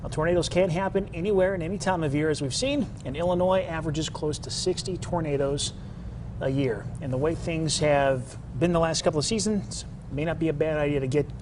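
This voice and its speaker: A man's voice: a little high, brisk, noisy background. A man presenting weather safety advice as a TV forecaster, in a conversational broadcast style.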